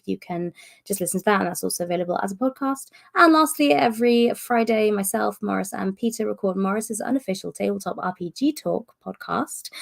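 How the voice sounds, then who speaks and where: Chatty woman with a gravelly voice. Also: mid-range voice. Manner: chatty, rambling, with many fillers and restarts. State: recovering from a cough, voice strained. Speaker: host of publisher's live stream. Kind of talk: casual monthly update stream.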